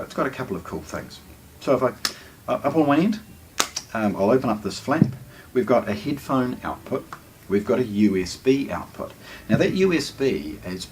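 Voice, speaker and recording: A man with a lowish voice talks through a product in a casual, explanatory way. His intonation rises and falls a great deal, and he pauses hesitantly between phrases. There is faint noise behind him. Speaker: product presenter. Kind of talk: casual hands-on unboxing commentary.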